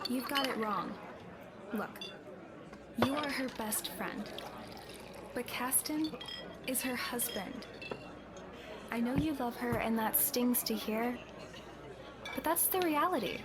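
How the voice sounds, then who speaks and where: An actress plays a young female tavern keeper in a fantasy audio drama, blunt yet caring. Her voice sits a little high and her delivery is conversational and emphatic. A clearly audible crowd murmurs behind her. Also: natural voice. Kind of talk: conversational roleplay voice acting.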